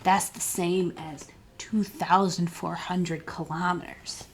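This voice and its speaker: A woman's voice: fairly low pitch, fairly even intonation, takes it slowly, a little hiss or hum. A woman reading a children's picture book aloud as a storytime librarian, expressively and with questions put to young listeners.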